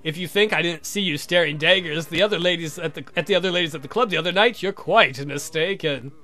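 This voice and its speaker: A man's voice: on the high side, fast talker, sharp tone, soft background music. A man voicing a suave, haughty vampire count from a visual novel, speaking in a formal, courtly, pompous way. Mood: courtly, proud. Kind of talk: theatrical visual novel character reading.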